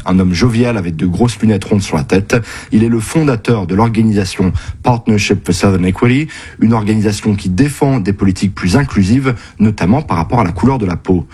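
A man narrating a scripted news report, with a husky voice. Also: fairly low pitch, darkish tone.

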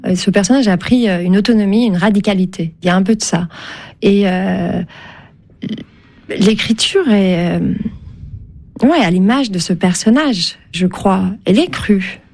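A woman's voice: mid-range voice, a little mellow. A Turkish-born woman, a novelist whose first language is Turkish, speaking French in a radio interview, thoughtful and hesitant, with many fillers and restarts. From Turkey. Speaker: novelist guest.

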